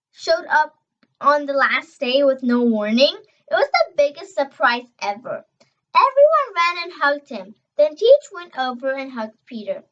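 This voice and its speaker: A young girl reading a children's novel aloud, expressive and emphatic on dialogue, stumbling over words now and then. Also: high-pitched, dull audio. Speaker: reader.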